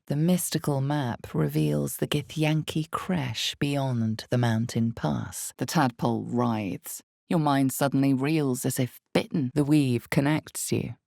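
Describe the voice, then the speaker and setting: Super low, crackly female narrator for a dark fantasy game, ominous and knowing, dramatic, deliberate. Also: no echo, clean studio sound, full character voice. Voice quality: low, gravelly. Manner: slow, deliberate, dramatic delivery. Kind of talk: scripted second-person fantasy game narration.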